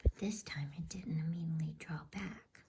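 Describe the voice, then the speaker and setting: A woman whispering softly and soothingly in an unhurried ASMR style, as the host of a bedtime reading. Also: sits low, fairly even intonation, background noise. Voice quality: breathy whisper.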